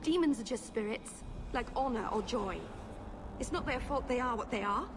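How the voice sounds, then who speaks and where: A woman acts out an earnest young elven mage for a game cutscene. Her voice sits a little high and is gentle and guileless. Clear ambient noise runs behind her. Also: animated, moderate pace, lightly acted. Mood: solemn, gentle. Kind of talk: video game cutscene acting.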